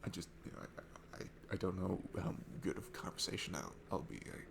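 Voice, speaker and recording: A man playing a shy, nervous, sweet young guy at a bar in an intimate ASMR roleplay, stammering and hesitant, with a soft gravelly voice that drops into hushed asides. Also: low voice, steady tone, fairly quick, background noise. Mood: nervous, self-deprecating. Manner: hesitant, stammering, self-deprecating, breaking into hushed breathy asides. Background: music.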